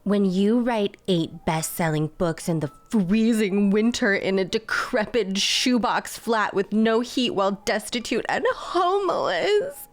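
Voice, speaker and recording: A woman's voice: mid-range voice, expressive, unhurried, natural voice. A woman voicing a haughty, combative internet commenter with a dramatic, scornful manner. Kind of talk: dramatized reading of online comments.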